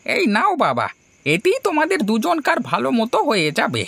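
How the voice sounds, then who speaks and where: A friendly, nosy older village eatery keeper from a cartoon, played by a man. The voice is high and gravelly, leaping about in pitch with familiar, generous chatter. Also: a little fast, no room sound, exaggerated voice. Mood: friendly, curious. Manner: animated, familiar, questioning. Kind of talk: animated horror story dialogue.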